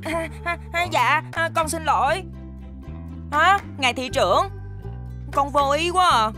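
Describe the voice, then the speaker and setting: A woman's voice: high voice, a little slow, sharp tone. A woman voicing an eager, boastful young boy in a cartoon, with an exaggerated childlike delivery. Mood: flustered. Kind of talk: Vietnamese cartoon dubbing. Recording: music behind the voice.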